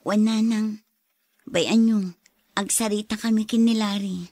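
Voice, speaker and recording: A woman's voice: mid-range voice, steady tone, measured pace, mellow, dry recording. A woman playing a troubled, suspicious young wife in an Ilocano radio drama. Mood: resigned.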